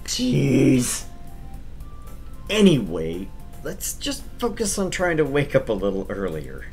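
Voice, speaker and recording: A man's voice: mid-range voice, wildly swooping pitch, slow pace, vocal fry, a little mellow, faint music behind, exaggerated voice. A man voicing an exasperated, easily flustered teenage boy who scolds and stammers in a visual novel. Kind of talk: visual novel read-aloud.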